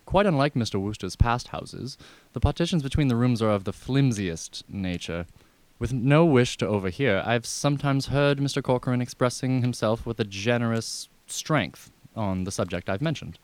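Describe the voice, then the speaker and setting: A man's voice: medium pitch, lively intonation, a little mellow, no room sound, lightly acted. Proper unflappable butler type guy acting, formal n wordy, very polite, dry sound, radio comedy. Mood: discreet. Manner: formal, measured, wordy, deferential.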